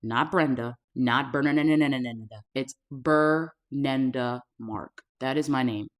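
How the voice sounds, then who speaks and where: Earnest woman coach telling her story. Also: very deep voice. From South Florida, USA. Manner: earnest and deliberate, slows to stress key words. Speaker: safety and preparedness coach. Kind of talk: personal storytelling to camera.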